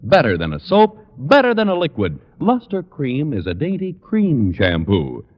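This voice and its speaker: Male American 1940s commercial announcer, highly expressive pitch, muffled reverberant vintage broadcast. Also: normal speed. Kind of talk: 1940s radio ad read.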